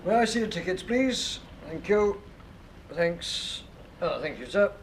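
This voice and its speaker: A man's voice: sits high, big pitch swings, measured pace, noisy background, full character voice. An actor playing a helpful male train ticket inspector in a radio drama, with a polite, explanatory manner. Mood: courteous.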